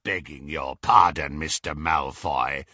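A man voicing a gruff, menacing werewolf henchman in an audiobook, growling in rough, colloquial speech. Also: a bass voice, takes it slowly, pushed and bright, no room sound, clean studio sound, pitched below the performer's own voice, full character voice.

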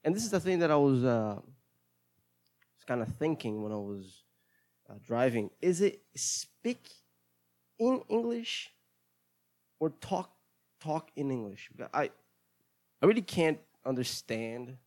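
A man's voice: mid-range voice, very animated, darkish tone. Solo host, Brazilian man, native Portuguese speaker, mid-range voice, casual and rambling, stop-start delivery with repeated words. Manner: halting, repeats words, self-deprecating. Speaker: host of a solo English-practice show.

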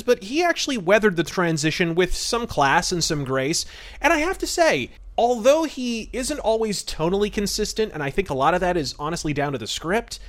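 A man with a fairly high-set voice talks as a podcast host. His film commentary is conversational and discursive, wandering into asides and qualifications as he goes. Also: fairly bright tone. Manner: chatty, discursive, peppered with asides and hedges.

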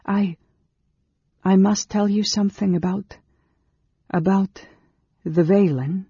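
A woman voicing an earnest, nervous woman of a long-lived secretive race in a storybook, speaking haltingly and with emotion. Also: lowish voice, steady tone, vocal fry, warm tone, breathy edge, dry recording, highs cut off, pitched above the performer's own voice, full character voice.

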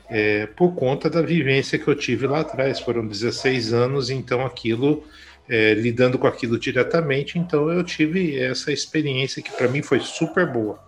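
Hesitant Brazilian man in conversation. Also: mid-range voice. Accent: Brazilian Portuguese. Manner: hesitant, many fillers, reflective. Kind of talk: conversational interview.

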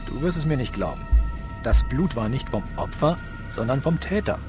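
An actor as animated, wry forensic lab man. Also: mid-range voice, normal speed, lightly acted. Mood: intrigued. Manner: wry, colloquial. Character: wry, chatty male police forensic lab technician. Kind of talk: audio drama acting of a video game story. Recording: music under the voice.

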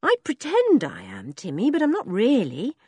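A woman reading an audiobook voices a frightened, gentle young girl who talks in an anxious, pleading way. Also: a little high, wildly swooping pitch, normal speed, breathy edge, no room sound, voice pushed up, full character voice.

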